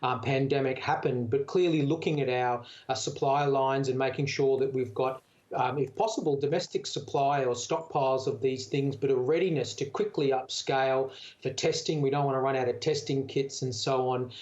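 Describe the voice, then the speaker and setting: A man's voice: medium pitch, fairly even intonation, normal speed, darkish tone. Australian male doctor on lo-fi audio. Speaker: doctor, medical association spokesman. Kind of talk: unscripted expert interview.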